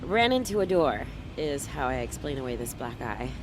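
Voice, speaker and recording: A woman's voice: very deep voice, very animated, normal speed, background noise. A woman playing a troubled, sardonic young woman in a noir radio drama, guarded and wry in her delivery.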